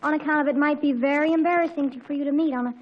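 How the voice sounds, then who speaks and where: A high-voiced, rapid teenage daughter acted by a woman from a 1940s radio comedy. She is dramatic and earnest, easily flustered, and tries hard to sound grown-up. The old recording is muffled. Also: steady tone, a little hiss or hum, close to an everyday voice. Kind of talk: 1940s radio sitcom acting.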